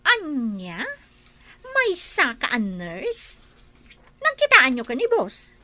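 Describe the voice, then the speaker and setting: A woman voicing a sharp-tongued nanny and housekeeper who scolds and mocks the newcomers. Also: high voice, wildly swooping pitch, slow pace, a little hiss or hum, full character voice. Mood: snide. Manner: scolding, sarcastic.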